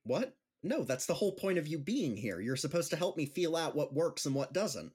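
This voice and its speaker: A man's voice: fairly high pitch, sing-song, normal speed, a little mellow, breathy edge. A man playing a kindly, insecure gym teacher and amateur comedian, speaking hesitantly and earnestly in an audio drama. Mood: uneasy, exasperated. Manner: hesitant, earnest, self-deprecating. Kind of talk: scripted audio drama acting.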